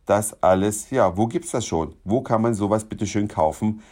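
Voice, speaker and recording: A slightly hoarse man giving a chatty, enthusiastic travel report as host of his own podcast. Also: fairly low pitch, moderate pace, mellow. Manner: chatty and enthusiastic, with small restarts.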